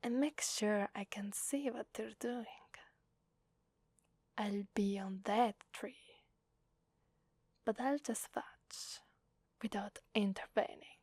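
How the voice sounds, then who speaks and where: Woman doing evil teasing demon lady, asmr whisper, barely changes pitch, super close dry sound, bloodthirsty n menacing. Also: steady tone, slow, pauses often, no room sound. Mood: gleeful contempt. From Italy. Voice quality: soft, breathy, husky whisper. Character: sadistic, playful female demon mistress who relishes blood.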